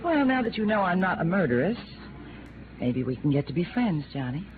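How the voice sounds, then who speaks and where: An American actress playing a brazen, coy young widow in a 1950s radio noir drama. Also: female voice, on the low side, big pitch swings, a little fast, background noise. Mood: coy, pouting. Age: young adult. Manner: coy, needling. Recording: tinny vintage radio broadcast, music behind.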